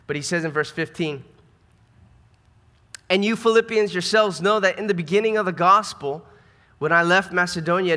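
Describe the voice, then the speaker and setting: A man's voice: fairly high pitch, pushed and bright. An American man preaching a sermon as a pastor, speaking in an earnest, conversational way with emphatic repetition. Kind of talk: sermon to a church congregation. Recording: reverberant hall sound.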